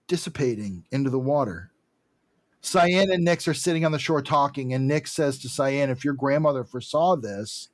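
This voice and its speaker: A man's voice: mid-range voice, no room sound. A man co-hosting a podcast, casually recapping and commenting on a comic book in a conversational way. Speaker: podcast co-host.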